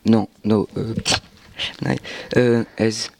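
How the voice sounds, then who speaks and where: A male student giving a halting classroom presentation, with many fillers and pauses as he searches for words. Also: lowish voice, fairly even intonation, vocal fry, darkish tone, no echo. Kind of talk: classroom presentation in a learned language.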